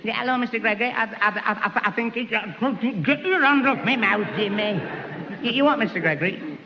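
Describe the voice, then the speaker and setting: A man's voice: high voice, animated, fairly quick. A man playing an earnest, dim-witted young man who stammers and fusses in a 1960s radio sitcom. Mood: flustered. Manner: stammering, flustered. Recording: old 1960s radio broadcast, dull, reverberant, faint hiss.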